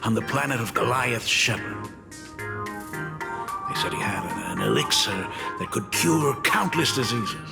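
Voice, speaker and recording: A man's voice: medium pitch, animated, unhurried, gravelly. A man voicing a doting, fretful middle-aged school dean in a video game, with a theatrical, fussing manner. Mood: somber. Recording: long reverb under background music.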